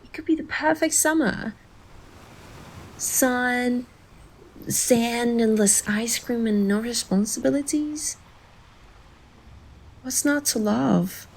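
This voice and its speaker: Teasing young woman, slow and slightly creaky, acted. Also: on the high side, full character voice, rough recording. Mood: playful, dreamy. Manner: teasing, playful. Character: teasing, curious, playful young woman on a summer beach. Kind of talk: romantic bedtime audio drama acting.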